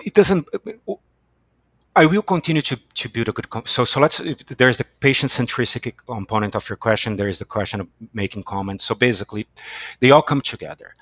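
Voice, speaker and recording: A man with a Brazilian accent, a company chief executive answering as a podcast guest in a halting, emphatic, repeating way. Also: fairly low pitch, fairly bright tone, dry recording. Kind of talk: unscripted podcast interview.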